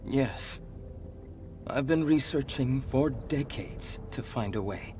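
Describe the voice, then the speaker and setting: An actor playing an ancient monster leader who was once human, speaking gravely, with measured, earnest persuasion in a video game cutscene. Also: male voice, mid-range voice, warm tone, close to an everyday voice. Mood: persuasive. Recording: background music under the voice.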